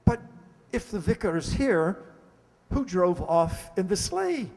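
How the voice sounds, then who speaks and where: A man playing a genial, exclamatory lord with a husky voice in an amateur radio play. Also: sits high, big pitch swings, slow pace, darkish tone, full character voice. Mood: alarmed. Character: excitable, genial English lord and host. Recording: reverberant hall-like radio play recording.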